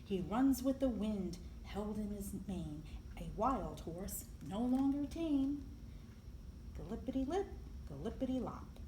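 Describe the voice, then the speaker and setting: A woman reading a picture book aloud to young children in an expressive, deliberate storytelling manner, with emphasis on the sound words. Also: medium pitch. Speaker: library storyteller. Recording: echoey room, clear background noise.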